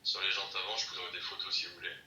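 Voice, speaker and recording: Bright, low-voiced man on echoey speakerphone. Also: monotone, very fast. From Paris, France. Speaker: private car seller. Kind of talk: casual phone conversation. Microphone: phone call heard through speaker. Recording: distant echoey speakerphone, faint noise.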